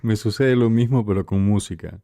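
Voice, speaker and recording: A man's voice: lowish voice, moderate pace, vocal fry, dark tone, no echo, studio quality. A man with an Ecuadorian Spanish accent hosting a casual, conversational interview. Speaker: interviewer, host.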